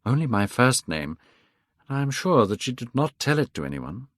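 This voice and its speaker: A man's voice: medium pitch, big pitch swings, moderate pace, no echo, studio quality, voice pushed up, natural voice. A man reading aloud, voicing a vain, careless young man who sounds bored and dismissive. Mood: cold, insistent. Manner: bored, dismissive, rising to sharp cries.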